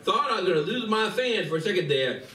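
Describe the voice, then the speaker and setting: A man acting a friendly, candid partygoer in a live radio play, halting and folksy. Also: sits high, sing-song, pushed and bright, heavily acted. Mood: sheepish. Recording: echoey room, distant mic, faint noise.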